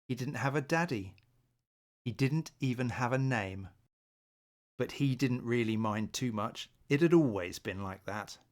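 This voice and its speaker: A man narrating a children's bedtime story in a gentle, measured manner. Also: mid-range voice.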